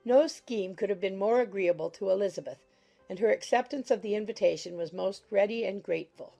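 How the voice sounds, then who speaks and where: A woman reading a classic novel as an audiobook narrator, in a formal, expressive storytelling manner. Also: mid-range voice, fairly quick, gravelly, faint music behind. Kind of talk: scripted literary narration.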